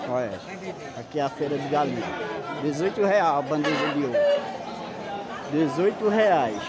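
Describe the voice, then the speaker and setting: A man's voice: on the high side, expressive, moderate pace, very noisy. A man, chatting with vendors and calling out prices as he walks a street market with his phone. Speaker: vlogger touring a market. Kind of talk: casual walking vlog. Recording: loud market crowd around.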